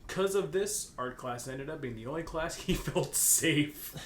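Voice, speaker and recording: A man's voice: on the high side, a little slow. A man reading a story aloud in a comedic, casual way, breaking off for joking, mocking asides. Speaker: co-host reading fan fiction aloud. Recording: echoey room, faint background noise.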